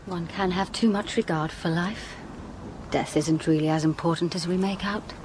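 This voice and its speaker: An actress playing a cool, independent young doctor in a radio drama, direct and matter-of-fact, with noisy ambience behind. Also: female voice, on the low side, steady tone, moderate pace, lightly acted. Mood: cool, detached.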